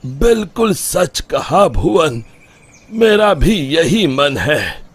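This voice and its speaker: A man playing an agreeable, worried village uncle in a cartoon story, with a husky voice and deliberate manner. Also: fairly high pitch, very animated, full character voice. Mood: agreeable, thoughtful. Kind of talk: Hindi cartoon story dialogue.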